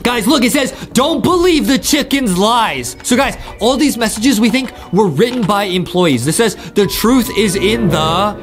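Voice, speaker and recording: Young man, high-pitched, very animated, hyped kids' gaming host, emphatic, background music. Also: measured pace, few pauses. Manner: excitable, emphatic, addresses viewers constantly. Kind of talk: hyped gameplay commentary for children.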